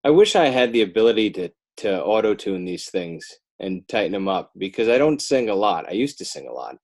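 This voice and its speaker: Rambling, lower-voiced American man. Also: big pitch swings, a little fast, a little mellow. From northern New Jersey, United States. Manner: rambling, self-deprecating, wry. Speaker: podcast guest, animator and children's author. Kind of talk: casual joking interview chat.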